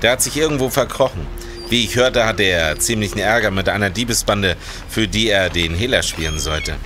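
An actor playing a brisk, matter-of-fact police detective in a radio play. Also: male voice, on the low side, sharp tone, a little hiss or hum. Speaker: actor in an audio drama. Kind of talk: German radio play acting.

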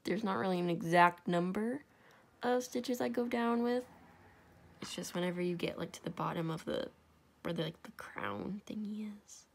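A woman's voice: lowish voice, normal speed, creaky. A young woman with a stuffy, congested voice, casually talking through a crafting tutorial and often dropping to a whisper. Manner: chatty, self-deprecating, often drops to a whisper. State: congested, sore throat. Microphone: camera over a tabletop, hands only.

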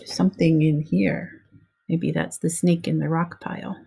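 A middle-aged woman reading a picture book aloud to young children in simple, measured storybook phrasing. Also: deep voice, little pitch movement, gravelly, dark tone, husky. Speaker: preschool teacher reading aloud. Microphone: webcam at arm's length.

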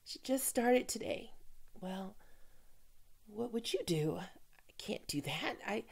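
A woman playing a flustered, smitten office team leader in an ASMR roleplay, stammering and apologetic in a halting manner. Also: medium pitch, animated, vocal fry, a little mellow, husky, heavily acted. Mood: mortified, confiding. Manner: halting, stammering, apologetic, often dropping to whispers. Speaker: voice actor in an audio roleplay. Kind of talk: ASMR roleplay acting, one-sided dialogue.

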